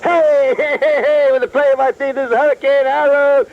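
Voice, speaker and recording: A man's voice: very high voice, level tone, normal speed, heavy background noise, voice pushed up. A man voicing a manic, corny Top 40 disc jockey, full of hammy wisecracking patter, in a parody of seventies radio. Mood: manic, jokey. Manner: hammy patter with corny gags and forced laughs. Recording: dull lo-fi AM radio aircheck tape.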